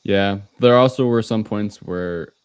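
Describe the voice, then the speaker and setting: A man's voice: lowish voice, unhurried, smooth. A man co-hosting a movie review podcast in casual, conversational panel chat.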